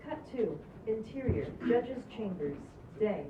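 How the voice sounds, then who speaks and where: A woman with a medium-pitched voice reads stage directions at a table read. She is muffled, with clear noise behind her. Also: lively intonation, a little slow. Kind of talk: scripted narration at live table read. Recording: distant, echoey, muffled, noisy room.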